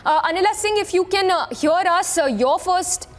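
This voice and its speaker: A woman with a high voice and an Indian accent anchors live breaking news. She ad-libs haltingly, circling back over the same points as she reports. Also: animated. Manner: halting, repetitive ad-libbed delivery.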